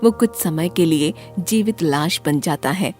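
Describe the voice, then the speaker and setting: A woman narrating a scripted horror story in a dramatic, deliberate storytelling manner. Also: deep voice, a little mellow, faint music behind.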